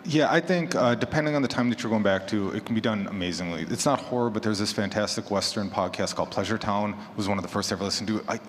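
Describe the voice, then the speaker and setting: A man's voice: fairly low pitch, quick pace, hardly any pauses. A man speaking emphatically and conversationally as a podcaster in a casual panel discussion. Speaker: horror fiction podcaster on a panel. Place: large echoey room at a convention panel. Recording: echoey hall, faint background noise.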